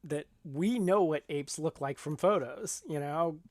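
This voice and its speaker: A woman's voice: sits low, unhurried, a little mellow, no room sound. A woman speaks as a podcast co-host in a dry, close recording. She discusses books and films casually, explaining her points thoughtfully and with care. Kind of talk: casual book and film discussion.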